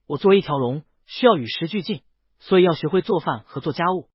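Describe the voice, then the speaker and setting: A man voicing a gentle, earnest young male dragon in an audiobook, polite and eager to reassure. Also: fairly high pitch, expressive, fast, slightly rough, dry recording, dull audio. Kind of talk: audiobook character dialogue.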